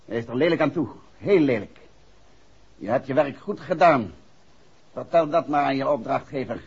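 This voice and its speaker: An actor playing a shrewd, urbane and authoritative amateur detective in a 1960s radio drama. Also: male voice, medium pitch, lively intonation, moderate pace, a little mellow, slightly rough. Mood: stern warning. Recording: dull, faintly noisy 1960s radio recording.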